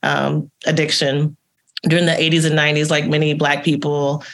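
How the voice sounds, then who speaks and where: A woman's voice: deep voice, level tone, moderate pace. A woman therapist from Texas, telling her life story earnestly as a podcast guest. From Texas, USA. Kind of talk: personal storytelling in an interview.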